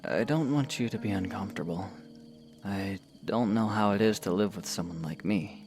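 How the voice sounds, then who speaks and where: A man's voice: on the low side, moderate pace, noisy background, close to an everyday voice. A man playing a considerate, reserved young knight in a fan audio drama, speaking in an earnest, hesitant way. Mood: hesitant, concerned. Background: music.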